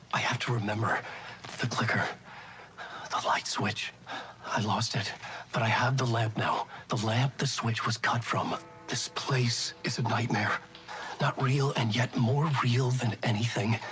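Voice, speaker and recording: A man performs a tormented, trapped writer in a game drama. The voice sits at a middle pitch, breathy and intense, brooding with desperation over clear background music. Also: close to an everyday voice, low-quality recording. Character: haunted, desperate male writer trapped in a nightmare.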